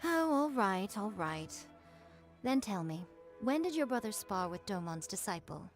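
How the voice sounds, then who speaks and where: A teasing, aloof priestess from a video game. Her mid-pitched female voice is wry and languid, with playful condescension in the delivery. Music sits underneath. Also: big pitch swings, a little slow. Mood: skeptical. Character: sly, teasing, aloof shrine priestess lady.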